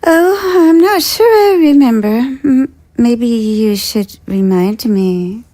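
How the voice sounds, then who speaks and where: A woman's voice: on the high side, slow pace, dark tone. An actress playing a sultry, teasing alien woman in an audio drama.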